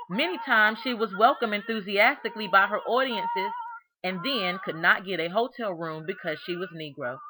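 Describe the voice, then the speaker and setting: Bright-voiced woman reading a storybook aloud. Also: medium pitch, a little hiss or hum, low-quality recording. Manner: expressive, deliberate storytelling with emphasis on key words. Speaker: storybook reader. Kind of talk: read-aloud narration of a children's biography.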